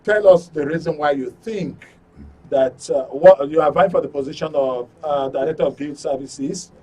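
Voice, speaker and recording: A man's voice: on the high side, moderate pace, dark tone, breathy edge, distant mic. A man with a Nigerian accent hosting a live call-in talk show on radio and TV from a studio. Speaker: radio and TV host. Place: broadcast studio.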